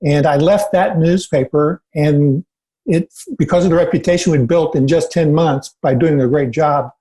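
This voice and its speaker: A man in his sixties from Indiana, a podcast guest calmly recounting his career in an unhurried, self-assured way. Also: a little high. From Indiana, USA. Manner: steady, self-assured anecdotes with filler ums. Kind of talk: relaxed storytelling interview.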